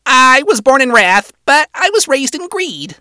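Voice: big pitch swings, a little slow, pushed and bright, no room sound, exaggerated voice. A small male imp played by a narrator speaks in a scratchy, raised voice. He is polite and apologetic, stammering a little as he goes, in a dry audio story recording. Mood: curious, mild. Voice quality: scratchy, high-pitched. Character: scratchy high-pitched nervous polite male imp employee.

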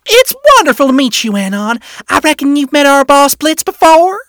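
A bubbly female imp chirps far up high in a folksy drawl. She is eager and friendly, with a very animated delivery for an audio story. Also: moderate pace, dry recording, studio quality, exaggerated voice.

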